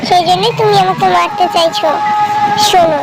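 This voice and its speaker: A woman's voice: extremely high pitch, steady tone, brisk, very noisy. A woman voicing a friendly, pleading village girl in a children's cartoon. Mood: coaxing. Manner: coaxing, pleading. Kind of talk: Bengali children's cartoon dialogue. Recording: loud music under the voice.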